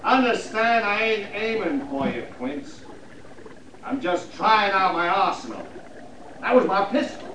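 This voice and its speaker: A man's voice: high voice, very animated, a little slow, bright, forceful tone, full character voice. An actor with an American accent playing a vengeful, cowardly frontier gunman, bitter and taunting, with a folksy drawl in 1950s Western radio style. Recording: noisy, echoey 1950s radio recording.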